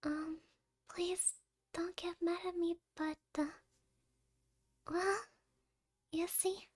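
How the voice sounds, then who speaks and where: A shy young schoolgirl tutor, acted by a woman for an ASMR roleplay, speaking slowly in a very high voice. She is sweet, nervous and hesitant, often slipping toward a whisper. The sound is dry and close. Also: level tone, no echo. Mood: timid, apologetic. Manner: timid, hesitant, giggly, often dropping to a near-whisper.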